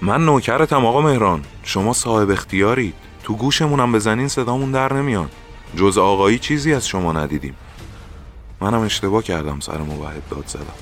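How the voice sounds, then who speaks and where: An actor playing a middle-aged veteran security officer, hot-tempered but deferential, with a blustering colloquial manner. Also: male voice, on the high side, sharp tone, soft background music. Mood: humble, apologetic. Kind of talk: audio drama acting.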